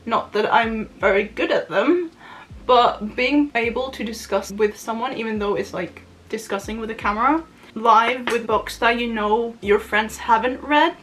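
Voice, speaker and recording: A woman's voice: fairly high pitch, normal speed, fairly bright tone, slight background noise, low-quality recording. Giggly young Norwegian woman, rambling vlog chat. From Norway. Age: young adult. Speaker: booktuber. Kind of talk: chatty get-ready-with-me vlog. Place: at home, doing her make-up.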